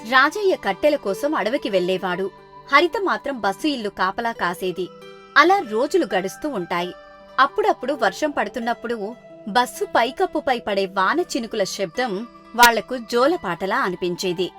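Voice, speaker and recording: High-pitched woman narrating a moral tale. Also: expressive, moderate pace, fairly bright tone, soft background music. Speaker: storyteller. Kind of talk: scripted children's moral story narration.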